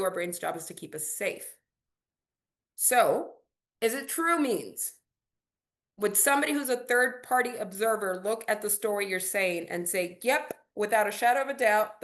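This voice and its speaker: A woman in her forties whose first language is Spanish leads a coaching workshop for entrepreneurs. She speaks with drive in an ordinary middle register and often slows down to spell out words letter by letter. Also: medium pitch, fairly bright tone. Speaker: workshop host.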